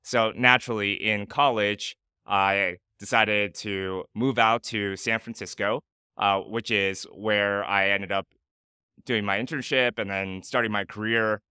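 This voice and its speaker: Crisp-voiced man, bright and clear. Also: lowish voice, steady tone, a little slow, no echo, studio quality. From Michigan, United States. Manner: thoughtful, many "uh" fillers. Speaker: guest. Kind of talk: casual interview conversation.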